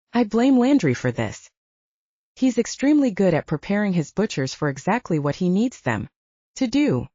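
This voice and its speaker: A woman voicing a blunt, brisk female investigator who coaches like a mentor in an audiobook. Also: medium pitch, warm tone, no room sound. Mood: frank, approving. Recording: dull lo-fi, very dry.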